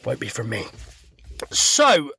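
A middle-aged man from the UK hosting his own podcast, his voice croaky from a cold, chatting and joking at himself while sat in his parked truck. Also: medium pitch, huge pitch swings, takes it slowly, sharp tone. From United Kingdom. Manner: chatty, self-deprecating, joking. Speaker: podcast host. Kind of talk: casual solo podcast monologue. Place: inside his parked truck cab by a main road.

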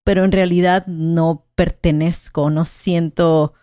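Slow, thoughtful Mexican-born woman. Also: fairly low pitch, fairly even intonation, warm tone, dry recording, clean studio sound. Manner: thoughtful, hesitant, with frequent "¿no?" tags. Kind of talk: casual conversational chat.

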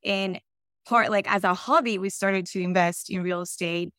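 Colombian-accented woman, smooth clear voice. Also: medium pitch, fairly even intonation, normal speed, a bit bright, no echo, studio quality. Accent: Colombian Spanish. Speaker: podcast guest, real estate investor. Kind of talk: conversational interview answers.

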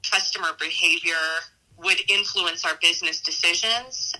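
A woman's voice: on the low side, normal speed, bright, forceful tone, poor audio. A woman, giving casual, reflective interview answers in a conversational way. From Southern California, United States. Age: 40s. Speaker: interviewee, math teacher.

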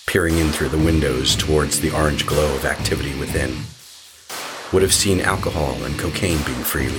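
A man performing brooding, portentous scripted narration for a horror audio drama. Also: extremely low pitch. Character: ominous male horror story narrator.

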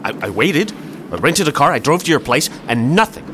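A man's voice: fairly high pitch, very animated, fairly quick, noisy background. A man playing a stubborn, sarcastic brother searching for his sister in an audio play. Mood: worried. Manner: sardonic, insistent.

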